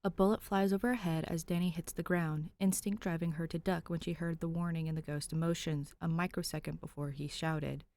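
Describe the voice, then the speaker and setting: A woman's voice: low voice, fairly even intonation, a little fast, few pauses, a little mellow. A woman narrating a scripted action story as an audiobook-style reader. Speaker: podfic narrator.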